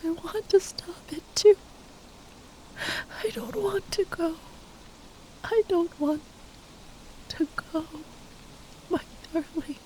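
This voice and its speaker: A woman playing a tender, grieving spellcaster lover in an ASMR roleplay, speaking in a breathy, halting whisper. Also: very high-pitched, warm tone, a little hiss or hum, poor audio. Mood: anguished, tearful. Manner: halting, tender, sighing delivery.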